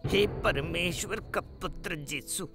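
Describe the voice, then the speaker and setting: A man's voice: high voice, slow. A man playing a humble, reverent wise man in a cartoon Bible story. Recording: loud background music.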